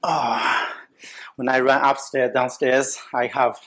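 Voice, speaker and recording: A man hosting a casual chat over a meal at a restaurant table, talking in halting phrases with many restarts. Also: mid-range voice, level tone, a bit bright, slight background noise. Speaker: host.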